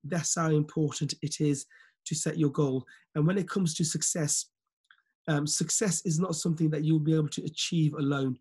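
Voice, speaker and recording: Croaky man giving a motivational call. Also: fairly high pitch, fairly even intonation, moderate pace, a little mellow. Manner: repetitive, many fillers and restarts, earnest. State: slightly croaky voice. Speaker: team leader hosting a group call. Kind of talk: motivational talk on a video call. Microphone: laptop webcam on a video call.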